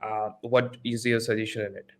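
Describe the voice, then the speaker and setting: A man's voice: fairly low pitch, level tone, normal speed, fairly bright tone. A man hosting a podcast, a non-native English speaker, introducing guests in an eager way with frequent repeats. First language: not English. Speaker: podcast host.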